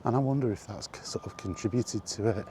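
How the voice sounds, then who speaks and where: Man, lowish pitch, very mellow tone, weary and grumbling, freewheeling vlog monologue, background ambience. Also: fairly quick, slight background noise. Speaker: vlogger. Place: walking while talking, ambient noise behind.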